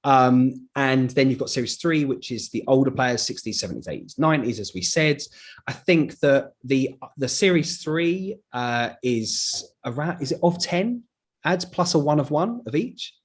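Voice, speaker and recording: A man with a husky edge to his voice, pitched in the middle range. He talks casually as a company presenter, keen on the product he is describing. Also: expressive, normal speed.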